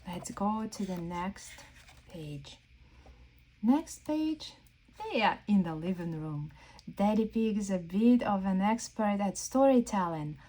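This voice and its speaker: Children's storyteller: woman, mellow voice, very animated pitch, guiding small listeners through a picture book. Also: low-quality recording. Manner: exaggerated wonder, slow questions to young listeners. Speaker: children's read-aloud storyteller. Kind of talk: interactive read-aloud for young children.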